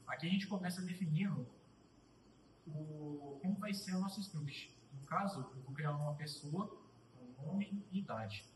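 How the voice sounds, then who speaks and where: A young man giving a casual live coding tutorial, thinking aloud as he types, with pauses and restarts. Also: on the high side, far from the mic, slight background noise. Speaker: student presenter. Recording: roomy echo, voice off-mic.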